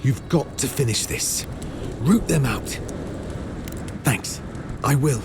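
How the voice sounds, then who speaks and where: A man's voice: medium pitch, lively intonation, slow pace, over music. A man playing a weary, dutiful wounded soldier scout in a video game, with a strained delivery.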